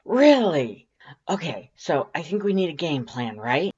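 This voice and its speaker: A woman's voice: low-pitched, very animated, measured pace, a little mellow, breathy edge, muffled recording, pitched above the performer's own voice, full character voice. A woman voicing a casual, good-natured young human protagonist in an audio story, conversational and exclamatory. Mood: amused.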